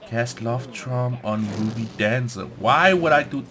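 A man hosts a casual let's play at an unhurried pace. He thinks aloud in a halting way, muttering to himself as he reads from the game. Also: mid-range voice, a crowd in the background. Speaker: gaming let's play host.

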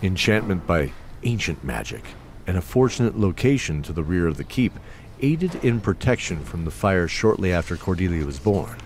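A man's voice: sits low, sing-song, moderate pace, a little mellow, slight background noise. A man narrating a scripted fantasy story as the storyteller of an audio drama. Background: music.

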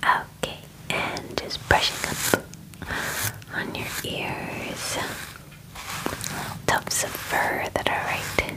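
A woman in her twenties playing a caring werewolf-spa groomer in a gentle, soothing ASMR roleplay, close to the mic. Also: very slow, pauses often, rough recording. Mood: soothing, attentive. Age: 20s. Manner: gentle, soothing, softly reassuring. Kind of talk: whispered ASMR personal-attention roleplay. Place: small dim indoor room. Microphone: close mic, face near the camera.

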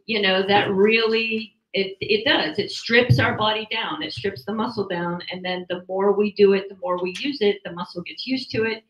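A woman with a medium-pitched voice talks things through casually with a group. The recording is slightly dull, with plenty of small-room echo on the handheld mic. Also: fairly even intonation, normal speed, few pauses, fairly bright tone. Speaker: group discussion participant. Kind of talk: casual explanatory group talk. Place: small indoor meeting room. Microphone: handheld microphone. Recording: echoey, dull room sound.

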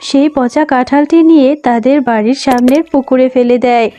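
A woman's voice: high voice, steady tone, a little fast, dark tone, slight background noise. A woman giving scripted narration for a cartoon story. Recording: music under the voice.

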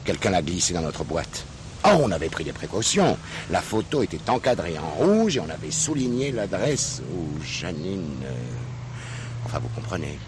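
A man's voice: low voice, very animated. An actor playing an older, distressed, apologetic father in a French radio drama, speaking hesitantly and helplessly. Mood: plaintive, distressed. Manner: hesitant, plaintive, halting. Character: distressed retired father, apologetic, helpless with his wayward daughter. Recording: noisy old radio drama recording.

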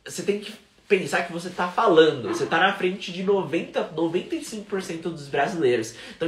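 Lively young Brazilian man teaching live. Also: high voice, reverberant. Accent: Brazilian Portuguese. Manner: energetic, mixes in English phrases, gestures a lot. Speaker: English teacher. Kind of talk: livestream English lesson. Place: small room at home, indoors.

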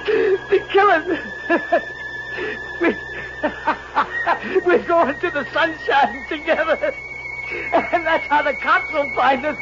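A man's voice: extremely high pitch, full character voice. An actor playing a trapped man going hysterical with fear in an old radio play, laughing and sobbing. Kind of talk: 1940s radio acting. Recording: noisy dull old radio recording.

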